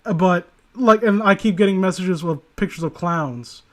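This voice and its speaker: A man's voice: high-pitched, moderate pace. A man casually reading aloud and commenting, sardonic and prone to profane asides. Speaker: host reading and critiquing internet horror stories.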